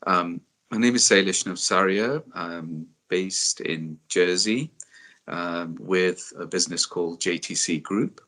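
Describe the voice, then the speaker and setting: Very deep, bright-toned man from Uganda. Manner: measured, hesitant with fillers. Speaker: fiduciary business executive. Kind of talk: panel self-introduction.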